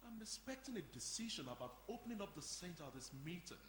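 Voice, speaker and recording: A man's voice: high voice, sing-song, fast, fairly bright tone. A man playing a fussy, defensive community centre manager with a formal, precise way of speaking in a stage play. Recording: noisy echoey hall, live performance.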